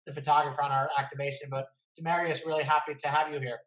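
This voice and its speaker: A man's voice: a little high, flat delivery, fast, fairly bright tone. A man hosting a casual interview, chatting and putting questions to a guest in a roomy, echoing recording. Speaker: interview host. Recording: echoey, roomy sound.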